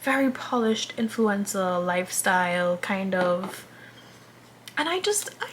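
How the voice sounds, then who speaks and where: A woman's voice: mid-range voice, animated, measured pace, slight background noise. A woman in her twenties chatting casually while doing her makeup, rambling and interrupting herself, sometimes dropping into a whisper. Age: 20s. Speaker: vlogger.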